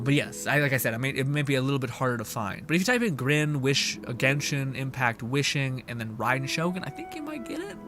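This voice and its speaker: A man streaming a video game, rambling playfully, swearing and laughing often as he comments. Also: mid-range voice. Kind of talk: casual livestream commentary.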